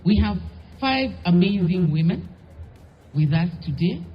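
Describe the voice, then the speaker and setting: A woman's voice: fairly low pitch, expressive, measured pace, husky, faint music behind. A woman moderating a panel discussion, asking short questions with fillers. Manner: brief, prompting questions with fillers. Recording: dull lo-fi event audio.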